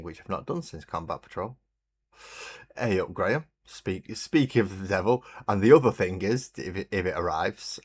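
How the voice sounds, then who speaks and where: A man hosting a relaxed hobby livestream, chatting in a rambling way and greeting viewers while he paints. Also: deep voice. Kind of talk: casual chat while painting. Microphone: desk mic on a webcam stream.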